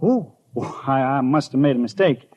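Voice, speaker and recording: A man's voice: medium pitch, expressive, moderate pace, darkish tone, lightly acted. An actor playing an earnest, imaginative small-town postman with a folksy, hesitant manner in a 1950s radio drama. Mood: flustered, indignant. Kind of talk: 1950s radio acting, first-person storytelling and dialogue. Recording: dull old radio recording.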